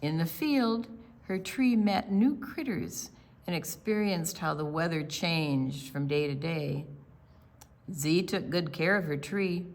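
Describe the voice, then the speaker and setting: An older woman reading aloud and explaining things to young children in a deliberate, teacherly, expressive way. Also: low-pitched. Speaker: children's storytime presenter.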